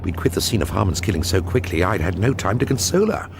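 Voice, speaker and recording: An actor playing an anxious, wry forger caught up in a murder case in a radio drama, hesitant and doubtful. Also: male voice, low voice, very animated, fast, gravelly, noisy background, heavily acted. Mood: wry narration. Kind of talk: radio drama acting with first-person narration.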